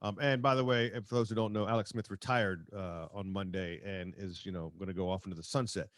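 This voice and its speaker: A man hosting a sports talk show, speaking conversationally and haltingly as he comments and reads out quotes. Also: sits low, fairly even intonation, no room sound, studio quality.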